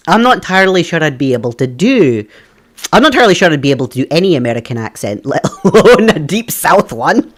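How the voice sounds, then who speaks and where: A man's voice: on the high side, big pitch swings, moderate pace, pauses often, a bit bright. A young Scottish man streaming a puzzle game, chatting with viewers, thinking aloud and laughing often. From Scotland. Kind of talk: live game stream chat.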